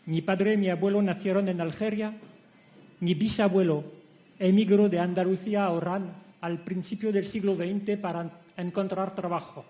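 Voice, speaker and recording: A middle-aged man with a French accent, a native French speaker, giving an academic conference talk in a careful, measured reading voice in a lecture hall. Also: high-pitched, steady tone, warm tone. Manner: measured, careful reading with occasional self-corrections. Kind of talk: academic lecture read from a text.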